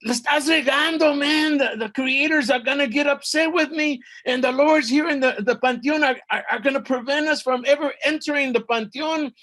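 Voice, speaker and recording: A man voicing a panicky, excitable young male hero in a folktale, fretting and exclaiming in mixed Spanish and English. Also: very high voice, normal speed, hardly any pauses, pitched above the performer's own voice, poor audio. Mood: panicked scolding.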